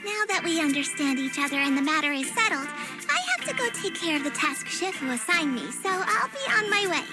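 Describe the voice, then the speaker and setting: An eager young tiger-girl heroine from a video game, with a very high, childlike voice. She speaks with brave, polite earnestness, and loud music plays behind her. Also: lively intonation, a little fast, rough recording. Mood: earnest.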